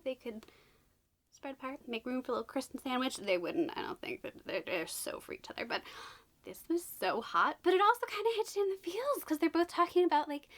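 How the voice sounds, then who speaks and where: A woman hosting a casual book unboxing vlog, a little raspy from being sick, bubbly and gushing, with hushed whispered asides. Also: high voice. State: slightly raspy from illness. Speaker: book channel host.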